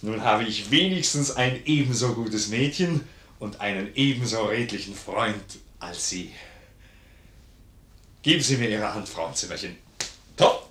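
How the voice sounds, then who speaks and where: An actor playing a gruff but good-hearted sergeant with blunt, folksy soldierly talk in a 1950s German radio play. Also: male voice, medium pitch, a bit bright. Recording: roomy 1950s radio studio recording.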